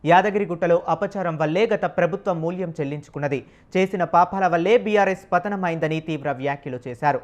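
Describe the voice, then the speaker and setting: A news presenter narrates a scripted report. The delivery is very quick, and the voice sits at a middling pitch.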